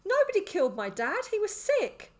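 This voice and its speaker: Woman doing a scared confused boy in an audiobook, really high and loud, demanding. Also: huge pitch swings, drawn-out words, exaggerated voice. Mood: shocked, defiant. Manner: shouting, demanding. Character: frightened, bewildered boy demanding answers. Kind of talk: audiobook character acting.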